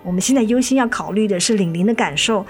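一位女演员在广播剧中饰演操心又疲惫、爱叮咛的母亲。. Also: female voice, medium pitch, fast, a little mellow, soft background music, close to an everyday voice. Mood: sorrowful. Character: worried, weary, nagging mother of two daughters. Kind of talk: radio drama acting.

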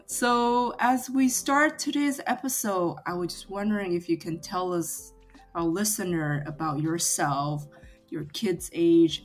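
A woman hosting a podcast, reading an introduction and then asking questions. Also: on the low side. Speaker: podcast host. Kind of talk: scripted introduction then interview. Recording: music bed under voice.

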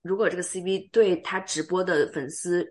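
Expressive woman with a lowish voice, chatting. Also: moderate pace. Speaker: podcast co-host. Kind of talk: casual chat.

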